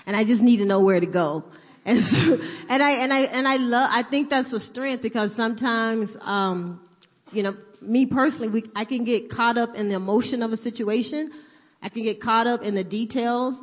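Woman, earnest reflective sharing, slightly high voice, dull sound. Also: moderate pace. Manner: earnest, reflective, repeats herself. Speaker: church group member. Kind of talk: sharing in a group. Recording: echoey hall, dull sound.

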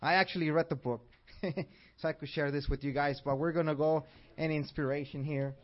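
A man's voice: a little high, fairly even intonation, normal speed. Upbeat man with a Mexican accent. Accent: Mexican Spanish. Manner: upbeat, asks the audience questions. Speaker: church class teacher. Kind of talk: interactive church class teaching. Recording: dull lo-fi recording.

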